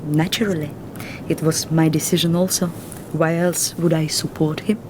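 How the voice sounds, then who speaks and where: An actress with a Russian accent playing a guarded, earnest young Russian woman in a film drama who speaks in a formal, measured and reserved way. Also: low voice, steady tone, noisy background, close to an everyday voice.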